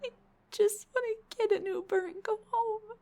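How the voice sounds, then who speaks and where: A young woman playing an embarrassed, self-deprecating female Dullahan in an intimate audio roleplay, hesitant and rambling, with a husky, breathy voice. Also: very high-pitched, fairly even intonation, moderate pace, mellow. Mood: crying, panicked. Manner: hesitant, stammering, rambling confession.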